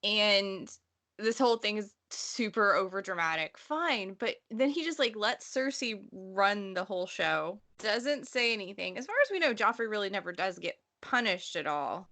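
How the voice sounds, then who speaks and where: Very bright, emphatic woman host. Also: medium pitch, animated, normal speed. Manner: opinionated, casually sweary, emphatic. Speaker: podcast host. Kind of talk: casual book discussion.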